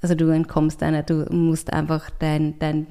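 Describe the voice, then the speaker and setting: A woman's voice: low voice, completely flat delivery, normal speed, dark tone, smooth. A woman writer and artist talking reflectively in an interview, often restarting and searching for words. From Austria. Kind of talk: thoughtful conversational interview.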